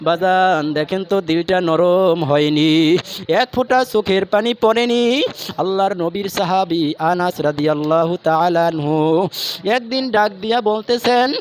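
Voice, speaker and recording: A man delivering an emotive Islamic sermon, telling religious stories with plaintive, drawn-out cries and appeals to listeners. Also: high-pitched. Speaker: Islamic preacher. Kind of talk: Bengali waz sermon, emotive religious storytelling.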